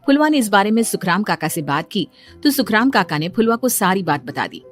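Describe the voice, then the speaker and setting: A woman narrates a cartoon moral tale as storyteller. Her voice sits at a middle pitch, with light music running underneath the scripted reading. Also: very animated, fairly quick.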